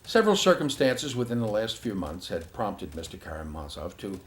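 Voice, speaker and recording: A man acting as a guarded family attorney in a crime drama. His low voice rises and falls widely as he picks formal, careful words. Faint noise sits behind him. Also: very animated. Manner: measured, formal, careful wording. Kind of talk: acted formal statement to investigator.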